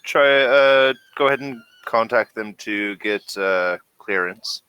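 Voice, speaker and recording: A man runs a tabletop role-playing game as game master in a bright, forward voice. His pitch sits on the low side and his delivery is slow and halting. The sound is close and dry. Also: smooth, dry recording, poor audio.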